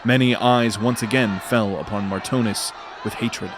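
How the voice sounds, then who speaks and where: A man narrating a scripted fantasy audio drama in a measured, dramatic storytelling manner. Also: lowish voice, fairly even intonation, a loud crowd, heavy background noise.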